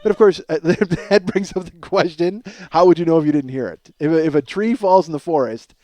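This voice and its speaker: A man's voice: a little high, fairly quick, a little mellow, no echo. A man hosting a comedy podcast in a rambling, self-mocking monologue, ranting with profane exasperation.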